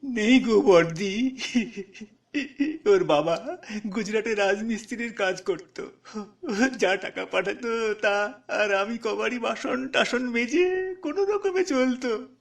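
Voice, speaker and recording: A man voicing a poor, grieving village mother in a tearful, halting storytelling performance with a rural Bengali accent. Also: sits high, gravelly, full character voice. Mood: sobbing, pleading. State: crying.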